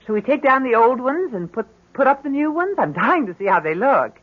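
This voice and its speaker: Anxious mother with swooping pitch, vintage radio. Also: expressive, brisk. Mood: anxious coaxing. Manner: hesitant, fretful, coaxing. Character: anxious, doting middle-aged mother of a grown daughter. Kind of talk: old-time radio drama acting. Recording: dull, hissy vintage radio recording.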